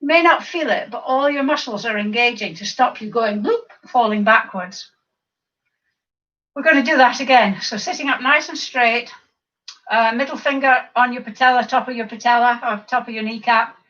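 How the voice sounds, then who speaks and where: A woman over fifty with a croaky voice, leading a seated exercise class, counting reps and adding chatty asides. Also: a little high, moderate pace, a bit bright. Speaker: fitness instructor. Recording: distant mic, dull lo-fi sound.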